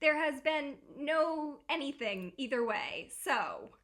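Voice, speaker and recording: A woman's voice: high-pitched, expressive, takes it slowly, fairly bright tone. A woman chatting casually as a co-host. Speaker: podfic co-creator and host. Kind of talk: casual chat endnotes.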